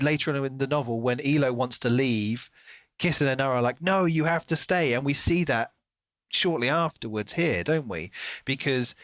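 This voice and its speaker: A man's voice: medium pitch, a bit bright, dry recording. A man co-hosting a podcast, chatting informally and thinking aloud as he discusses a book. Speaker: podcast co-host.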